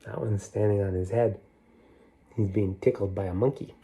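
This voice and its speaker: A man reading a picture book aloud to children, stopping to talk about the pictures and ask his young listeners questions in a playful, unhurried way. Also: lowish voice, steady tone, warm tone, slightly rough. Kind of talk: read-aloud storytime with asides about the pictures.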